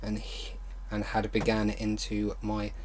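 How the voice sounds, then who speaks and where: Low-voiced man reading aloud and reacting. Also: monotone, a little hiss or hum. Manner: halting reading, exasperated disbelieving outbursts, casual swearing. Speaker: online video host. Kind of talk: reading fan fiction aloud with exasperated reaction commentary.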